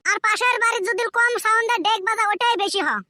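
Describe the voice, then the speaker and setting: Brash dim cartoon schoolkid, very high squeaky voice, rapid talk, comic backtalk. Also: fairly even intonation, pushed and bright, heavily acted. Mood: smug reciting. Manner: brash backtalk in rustic village dialect. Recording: very noisy homemade cartoon dub.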